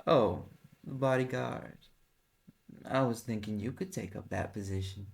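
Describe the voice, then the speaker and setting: A man playing an ancient, suave male incubus in an audio roleplay, with a Southern US accent and a smooth-talking, teasing manner, speaking intimately to the listener. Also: on the low side, measured pace. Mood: flirtatious, teasing. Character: alluring male incubus, ancient suave flirtatious business dealer. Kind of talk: roleplay audio drama, intimate second-person address.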